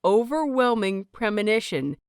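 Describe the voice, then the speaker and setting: A woman reading scripted fiction as an audiobook narrator, with measured, expressive phrasing. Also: mid-range voice, clean tone, no room sound, studio quality.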